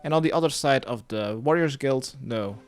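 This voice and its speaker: A man's voice: medium pitch, normal speed, clear, resonant tone. A man narrating a step-by-step video game guide, giving plainly worded instructions as a tutorial voice-over. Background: music.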